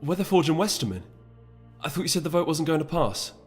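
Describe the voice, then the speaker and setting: A man's voice: on the high side, sing-song, fast talker, slightly rough, faint music behind, pitched above the performer's own voice. A man voicing a loyal, anxious male pony aide, deferential and hesitant, in a fantasy audiobook. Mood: confused. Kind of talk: dramatic audiobook character voice.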